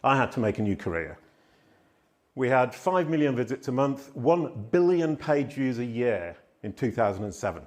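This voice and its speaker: Loud, animated man giving a talk. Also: mid-range voice, moderate pace. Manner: loud, animated, self-deprecating storytelling. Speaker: conference speaker. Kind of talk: live conference talk. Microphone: handheld microphone.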